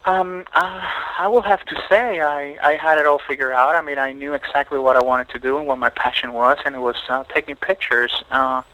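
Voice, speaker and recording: A middle-aged man from Puerto Rico, a photographer being interviewed, talking thoughtfully and haltingly with many fillers and restarts. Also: a little high, steady tone, pushed and bright, dry recording, rough recording. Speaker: photographer guest. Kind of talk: podcast interview.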